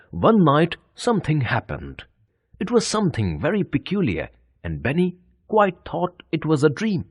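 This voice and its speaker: A man reading a scripted children's bedtime story as an expressive, deliberate storyteller. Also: a little high, slightly rough, no echo, clean studio sound.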